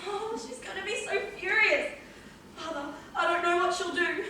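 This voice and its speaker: A woman's voice: very high-pitched, moderate pace. A woman playing a troubled, exasperated family member with melodramatic delivery in an amateur stage play. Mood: anxious, confiding. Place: college theatre stage with audience behind. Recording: very echoey hall, distant, loud audience and noise.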